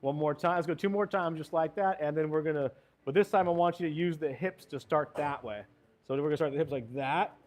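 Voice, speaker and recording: A man's voice: on the high side, a little fast, a little mellow. A man coaching a golf lesson, giving short cues and encouragement as the student swings. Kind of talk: hands-on golf lesson instruction.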